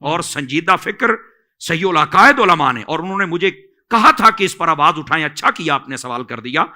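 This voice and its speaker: A man with a Pakistani accent and a forceful, sharply bright voice on the high side. His pitch moves animatedly as he gives religious guidance, exhorting and repeating key phrases. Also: expressive, moderate pace. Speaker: Islamic scholar answering questions. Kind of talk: religious question-and-answer, admonishing.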